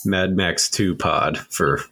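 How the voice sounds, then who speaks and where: A man's voice: low-pitched, slow, pushed and bright, slightly rough. A man co-hosting a casual movie podcast, making dry, laid-back asides. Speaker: podcast co-host.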